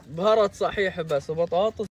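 Matter-of-fact cook, fairly high voice. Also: male voice, quick pace. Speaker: cook at the camp. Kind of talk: casual explaining. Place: outdoor desert camp.

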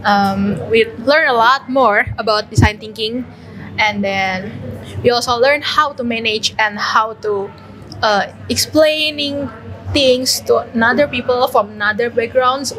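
A woman's voice: on the high side, a bit bright. A young woman from Indonesia chatting in a friendly way about her student program, holding a mic in a large restaurant hall. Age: young adult. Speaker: student participant. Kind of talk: casual testimonial. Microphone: handheld mic.

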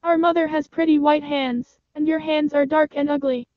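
A woman's voice: sits high, fairly even intonation, normal speed, no echo, voice pushed up, lightly acted. A woman voicing a group of small, defiant goat kids in a storybook reading. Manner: scolding, defiant. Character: seven little goat kids, bold and defiant. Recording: dull lo-fi sound, dry.

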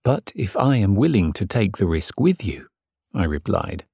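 Lovesick gentleman narrator, audiobook drama, low male voice, husky, earnest, submissive, measured. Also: very animated, no room sound, studio quality, full character voice. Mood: earnest, yielding.

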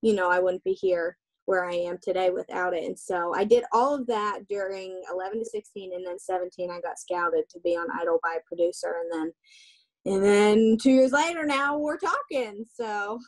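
A young woman speaks in a casual, chatty way, leaning on fillers as she answers. Her voice sits at a middle pitch, and she is heard through a webcam in a small room indoors. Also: moderate pace, rough recording. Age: young adult. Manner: relaxed, chatty, many fillers like "like" and "um". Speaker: guest, singer. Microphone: webcam on a video call.

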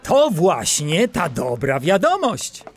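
A young-ranking animal cop in a kids' audio drama. The voice is high for a man and very animated in pitch. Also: slow, noisy background, exaggerated voice. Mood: informative. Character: animated male junior animal police officer. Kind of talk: children's audio drama acting.

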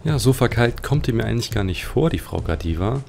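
A man's voice: mid-range voice, animated, fast talker, gravelly, darkish tone. A man giving casual, reflective commentary as he plays a game, musing as he goes. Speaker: let's player. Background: game music.

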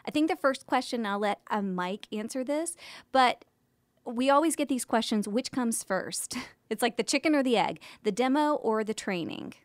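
A woman's voice: fairly high pitch, lively intonation, moderate pace, no echo. A woman co-hosting a podcast in a conversational, emphatic and explanatory way, speaking close to a studio microphone. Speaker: podcast co-host. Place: small indoor podcast studio. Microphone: close boom-arm studio microphone.

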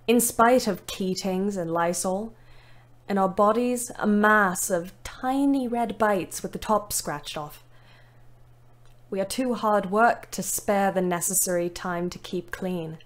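An actress playing a weary young ambulance driver, narrating her diary in a measured, dryly wry way for an audio play. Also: medium pitch, close to an everyday voice.

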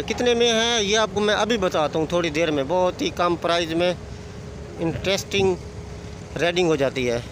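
Excited young man vlogging over loud boat noise. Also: high-pitched. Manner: excited, chatty, repeats himself. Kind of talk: casual travel vlog. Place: outdoors on a busy waterfront and boat. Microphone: phone at arm's length.

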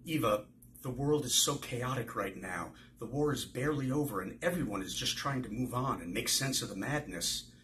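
A man in his sixties reading the part of a hopeful, gentle baker who soothes others, from a novel. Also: medium pitch, expressive, normal speed, a little hiss or hum, natural voice. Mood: earnest, soothing.

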